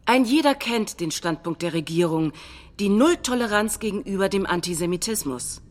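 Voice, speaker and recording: A woman reading quoted political statements in a measured, declamatory way, as in scripted documentary theatre. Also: mid-range voice, expressive. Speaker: stage reader of political quotations.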